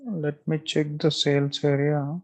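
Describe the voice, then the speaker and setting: A man giving a step-by-step screen-share software tutorial, thinking aloud in a halting, repetitive, instructional way. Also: a little high, level tone. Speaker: software trainer.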